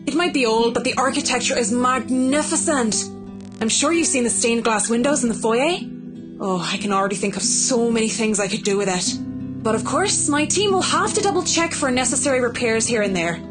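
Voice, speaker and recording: Actress as a poised, expressive professional woman. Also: on the high side, fairly quick, over music, close to an everyday voice. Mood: enthusiastic. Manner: polite, formal, articulate. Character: poised, courteous professional woman, guarded yet passionate. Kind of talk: visual novel voice acting.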